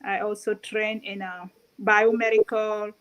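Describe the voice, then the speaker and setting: A woman's voice: mid-range voice, level tone, a little slow, a bit bright, rough recording. A woman from Cameroon introducing herself as a guest on a video call, with many hesitations. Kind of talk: online talk show guest.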